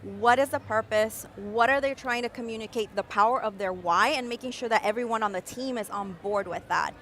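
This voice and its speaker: A young adult woman, born in Mexico City and raised in Caracas, explaining her design process with expressive enthusiasm in a live-stream interview. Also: a little high, a little fast. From Mexico City, raised in Caracas, Venezuela. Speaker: guest designer.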